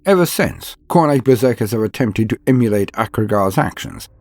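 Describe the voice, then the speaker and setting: A man's voice: fairly low pitch, lively intonation, fairly quick, a little mellow, no echo. A man reading scripted lore narration in a solemn, dramatic manner. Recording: music behind the voice. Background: background music.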